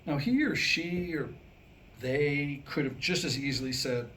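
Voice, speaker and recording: A mature man delivering a scripted comic talk to a live audience, telling stories and jokes with deliberate pauses. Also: on the high side, a bit bright, slight background noise. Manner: storytelling, deliberate pauses, acting out dialogue in jokes. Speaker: humorist and author. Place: indoor room, live staged reading.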